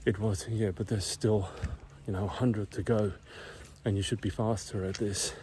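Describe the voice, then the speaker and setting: A man's voice: on the low side, flat delivery, moderate pace, slight background noise. A middle-aged man whispering and out of breath as he walks uphill outside, talking through a casual vlog. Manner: whispering, hushed. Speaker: vlogger. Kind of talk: casual walking vlog monologue. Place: outdoors, walking uphill in a quiet neighborhood.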